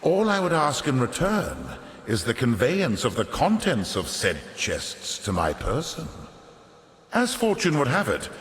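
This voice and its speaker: Pompous, echoing old merchant aristocrat. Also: male voice, lowish voice, a bit bright. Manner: florid, theatrical, unctuous salesman's patter. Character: pompous, sly fallen aristocrat turned coin-greedy merchant, older man. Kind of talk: theatrical video game character acting. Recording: echoey hall reverb on voice.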